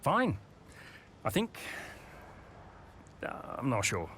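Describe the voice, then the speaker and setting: A man playing an earnest, guarded racing team boss in a video game, speaking in a halting, confiding, understated way. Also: medium pitch, huge pitch swings, bright, forceful tone, slight background noise, full character voice.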